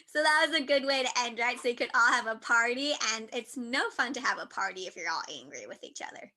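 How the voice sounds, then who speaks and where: A woman's voice: on the high side, lively intonation, a little fast. A woman moderating an online book panel, upbeat and encouraging as she asks a young author questions. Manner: upbeat, encouraging, talks gently to a child.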